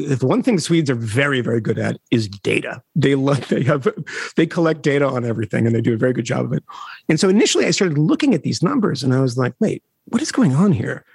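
A middle-aged man, a reporter guest on a podcast, talking in an animated way with wry asides and chuckles. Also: medium pitch, gravelly, slightly rough, no echo. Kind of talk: conversational interview.

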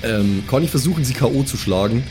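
A man's voice: mid-range voice, lively intonation, quick pace. A man playing a tabletop game, thinking aloud in a hesitant, slangy, casual way. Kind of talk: casual improvised tabletop play. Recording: music behind the voice.

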